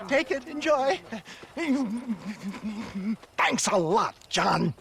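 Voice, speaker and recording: An old American snake-oil salesman from a Western game. His voice is very high for a man and he stretches out his showy patter slowly, hemming and hawing as he wheedles. There is faint noise behind him. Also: gravelly, fairly bright tone, exaggerated voice. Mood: sheepish, wheedling. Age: older. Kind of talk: video game character acting.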